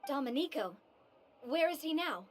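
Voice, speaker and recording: An actress playing a practical, no-nonsense investigator with a direct manner in a video game. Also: female voice, high voice, expressive, normal speed, a little hiss or hum. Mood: businesslike.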